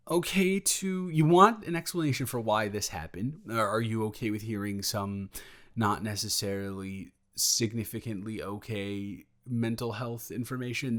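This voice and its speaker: A man playing a sheepish, protective best friend in an improvised audio roleplay, talking in a halting, rambling, awkwardly candid way. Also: mid-range voice, steady tone, fairly bright tone, close to an everyday voice. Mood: nervous, wry. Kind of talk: improvised audio roleplay, intimate conversational monologue.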